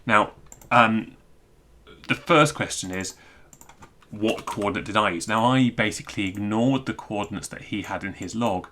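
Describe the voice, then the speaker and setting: A man giving an informal technical screencast walkthrough, thinking aloud in a halting, self-interrupting way. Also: fairly low pitch, pushed and bright, slight background noise. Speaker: presenter explaining technical material.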